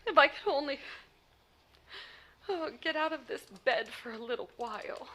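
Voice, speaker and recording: An actress playing a nervous, demanding, indignant bedridden wife on the telephone in a 1940s-style radio play. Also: female voice, high-pitched, normal speed, fairly bright tone, slight background noise. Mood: anxious, fretful. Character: imperious, hysterical bedridden invalid wife, frantic on telephone.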